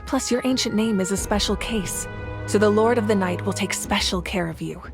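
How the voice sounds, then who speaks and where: A woman's voice: mid-range voice, normal speed, lightly acted. An actress playing a determined, composed female war leader, explaining things earnestly and with assurance in a video game. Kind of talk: dramatic video game acting. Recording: music playing behind the voice.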